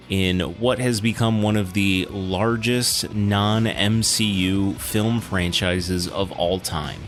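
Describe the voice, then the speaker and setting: Low-voiced man hosting a casual podcast. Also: fairly bright tone. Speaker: podcast host. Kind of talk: casual film discussion and show announcements.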